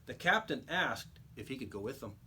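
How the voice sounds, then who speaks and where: A man reading a children's storybook aloud like a teacher, with expressive, emphatic storytelling. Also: medium pitch, moderate pace, breathy edge.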